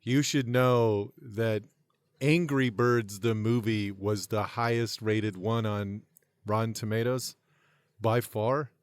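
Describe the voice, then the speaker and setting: A man's voice: on the low side, steady tone, slow pace, smooth, no room sound, clean studio sound. A man co-hosting a comedy podcast, griping casually in a blunt, profane, sardonic way. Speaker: podcast co-host.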